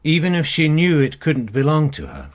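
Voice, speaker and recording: An actor playing a wry, matter-of-fact British detective sergeant in a radio crime drama. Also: male voice, on the high side, huge pitch swings, moderate pace. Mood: probing. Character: loyal detective sergeant, wry, plodding, susceptible to women.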